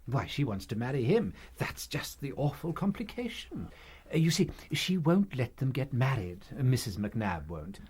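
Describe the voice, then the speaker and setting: A mild English parish priest in a radio drama. His husky voice is very lively in pitch, and he is hesitant, apologetic and bumbling. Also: normal speed. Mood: earnest, hesitant. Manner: hesitant, flustered, polite.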